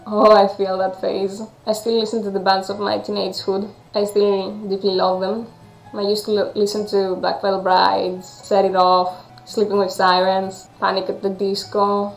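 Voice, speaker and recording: A woman's voice: medium pitch, roomy sound, low-quality recording. A woman with a Greek accent and non-native English, reading a visual novel aloud and commenting as she plays. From Greece. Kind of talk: game playthrough with read-aloud narration and chatty commentary.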